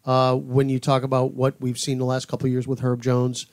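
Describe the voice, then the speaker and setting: A man who works as a play-by-play announcer, giving conversational sports analysis in a studio with measured, paused phrasing. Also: medium pitch, monotone, darkish tone, no room sound. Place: podcast studio.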